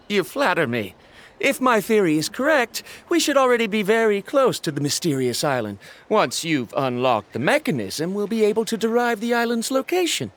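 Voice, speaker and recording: A man's voice: sits high, sing-song, moderate pace, a little hiss or hum. An actor playing an eager young male scholar in a video game, lecturing about his theories in a pedantic way. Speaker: researcher. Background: game music.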